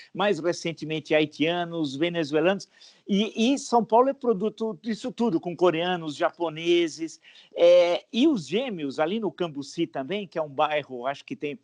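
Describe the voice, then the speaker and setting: A man's voice: on the high side, darkish tone, dry recording. A man, a historian hosting his channel, asking an interviewee long explanatory questions. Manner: discursive, explanatory.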